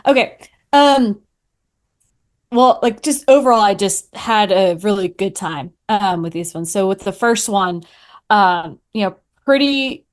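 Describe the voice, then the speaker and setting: A woman giving her opinions as a podcast guest in casual chat, conversational and thinking aloud. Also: medium pitch.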